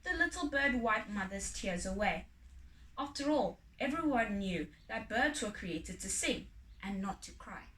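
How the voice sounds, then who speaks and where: A woman narrating a children's folk tale expressively, with deliberate, dramatic phrasing and pauses. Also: medium pitch, lively intonation, a bit bright. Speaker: storybook reader. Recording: echoey room, distant microphone.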